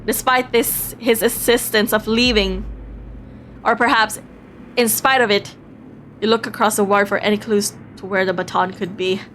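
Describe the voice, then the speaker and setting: A woman's voice: mid-range voice, fairly even intonation, normal speed, a bit bright, slight background noise. A woman live-streaming a visual novel, reading it aloud expressively and playfully, breaking off into exclamations.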